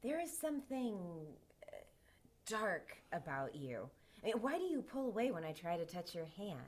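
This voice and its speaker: A woman's voice: mid-range voice, sing-song, normal speed, darkish tone. An actress playing a volatile, uncanny young woman who pleads fearfully in an audio drama. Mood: probing. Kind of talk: radio drama acting.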